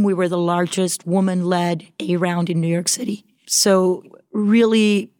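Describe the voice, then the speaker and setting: A woman from Costa Rica in her forties, speaking as a guest in an interview, articulate and measured. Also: on the low side, a little mellow, no echo, studio quality. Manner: articulate, measured business talk. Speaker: guest, venture capital founder. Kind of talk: conversational interview.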